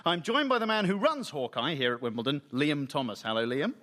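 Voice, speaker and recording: Clumsy, pushy field reporter: high, bouncy delivery. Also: male voice, animated, a little fast, fairly bright tone. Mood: chirpy. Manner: eager, fumbling, pushy. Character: bumbling pushy male sports reporter. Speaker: spoof reporter. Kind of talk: comedy radio sketch.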